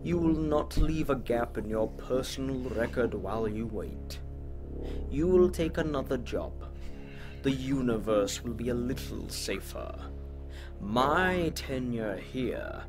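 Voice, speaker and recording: An actor playing a stern, principled, long-lived professor who speaks gravely and formally in a sci-fi audio drama. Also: male voice, mid-range voice, expressive, takes it slowly, music in the background. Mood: grave, resolute.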